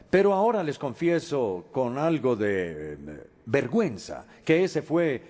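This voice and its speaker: Lively-pitched man giving a lecture. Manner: measured, with frequent pauses and filler hesitations. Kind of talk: Spanish voice-over of a recorded lecture.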